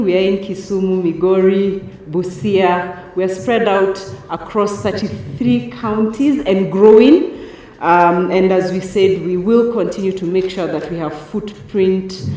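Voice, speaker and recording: A woman's voice: medium pitch, mellow. Lady answering a question at an event, super echoey hall, bit of noise. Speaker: bank representative. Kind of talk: answering an audience question formally.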